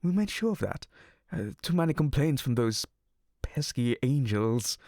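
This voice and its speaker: A man voicing a gravelly, sardonic incubus. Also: medium pitch, very animated, unhurried, darkish tone, dry recording, clean studio sound. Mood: sarcastic, exasperated. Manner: theatrical, sarcastic and exasperated. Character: exasperated sardonic male incubus demon. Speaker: ASMR roleplay voice actor. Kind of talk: ASMR audio roleplay.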